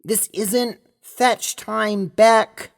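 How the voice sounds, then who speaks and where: A woman's voice: mid-range voice, steady tone, very slow, vocal fry. A woman playing an anxious teenage girl who owns a dog, in an audio drama. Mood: firm.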